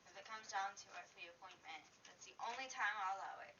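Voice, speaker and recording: A woman's voice: mid-range voice, quick pace, dull audio, crowd noise. A woman casually reading out aftercare instructions to her partner, playful and teasing, now and then stumbling over words.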